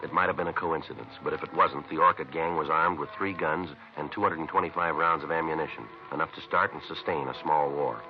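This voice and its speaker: An actor playing a terse, methodical American police detective, narrating casework in a clipped, matter-of-fact 1950s radio crime drama style. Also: male voice, very deep voice, steady tone, fast talker, hardly any pauses. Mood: clipped, deadpan. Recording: muffled vintage radio recording, faint music.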